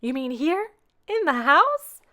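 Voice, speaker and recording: A woman's voice: sits high, sing-song, slow pace, pushed and bright, pitched above the performer's own voice, exaggerated voice. A woman voicing a stern, proper grandmother in a storybook, formal and emphatic in her indignation. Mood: shocked.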